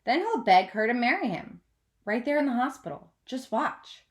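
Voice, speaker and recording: A woman reading a children's novel aloud as a teacher would, in an expressive storytelling style. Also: fairly high pitch, normal speed.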